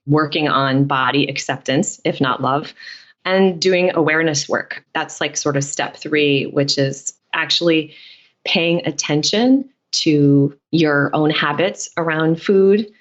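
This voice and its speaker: A woman with a low-pitched voice talks as an interview guest, explaining her ideas reflectively and conversationally. The recording is a little dull and muffled. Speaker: podcast guest, dietitian and author.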